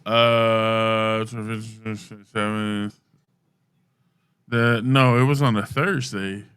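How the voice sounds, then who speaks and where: Bright, clear-voiced man, blunt sports co-host. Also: on the low side, flat delivery, drawn-out words. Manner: blunt, slangy, emphatic. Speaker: podcast co-host. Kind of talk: casual sports talk.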